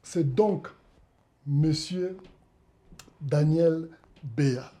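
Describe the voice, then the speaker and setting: A man's voice: a little high, very slow, dark tone. A man hosting an interview show, with formal, emphatic introductions. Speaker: talk show host.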